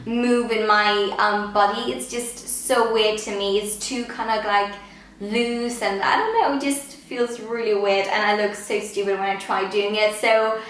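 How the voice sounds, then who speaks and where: A woman's voice: mid-range voice, steady tone, unhurried, fairly bright tone, very echoey, poor audio. A woman of twenty-one, answering viewer questions in casual chat, with many "um" and "like" fillers. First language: Danish. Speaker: vlogger.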